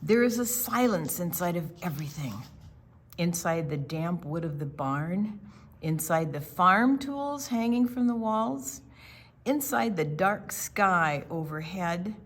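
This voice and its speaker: A woman reading a picture book aloud to young children in a deliberate, storytelling way, with asides to her listeners. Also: fairly low pitch, animated. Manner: slow, deliberate phrasing with questions and asides to the listeners. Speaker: library storytime reader. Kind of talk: expressive read-aloud narration for young children.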